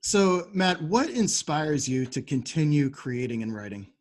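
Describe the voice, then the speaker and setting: A man's voice: fairly high pitch, sing-song, a little slow. A man hosting a casual interview chat in an agreeable, easygoing manner. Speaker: podcast host.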